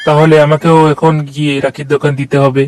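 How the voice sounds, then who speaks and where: A wry, worried sidekick from a comedy cartoon, acted by a man. He speaks quickly in a somewhat high male register with little melody. There is faint noise behind him. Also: little pitch movement, lightly acted. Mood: wry, scolding. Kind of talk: comic cartoon dialogue in Bengali.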